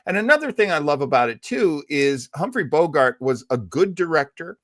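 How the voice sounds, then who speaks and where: A man's voice: a little high, very animated, normal speed, fairly bright tone, no room sound, clean studio sound. A man hosting a casual panel chat on a podcast. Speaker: podcast host.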